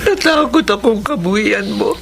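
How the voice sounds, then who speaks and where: A woman acting a frail, guilt-ridden older mother, pleading haltingly in a Filipino radio drama. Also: mid-range voice, expressive, gravelly, noisy background. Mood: pleading remorse.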